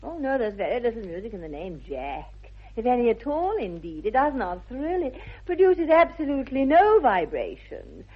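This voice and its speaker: A woman's voice: on the high side, sing-song, moderate pace, breathy edge. An actress playing a self-assured, romantic young English society lady in a 1960s radio comedy, with an affected, precise upper-class accent. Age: young adult. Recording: dull old radio recording.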